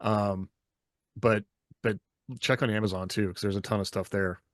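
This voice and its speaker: Slightly low-voiced man, casual podcast host. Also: flat delivery, moderate pace, no room sound, studio quality. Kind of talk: casual conversational discussion.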